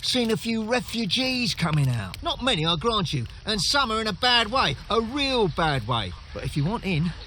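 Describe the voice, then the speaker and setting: A wary refugee camp guard in a fantasy video game. The voice is very high for a man and forceful, with lively swings, blunt and weary in manner. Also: moderate pace, few pauses, a little hiss or hum, heavily acted. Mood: conspiratorial, earnest. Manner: blunt, exasperated.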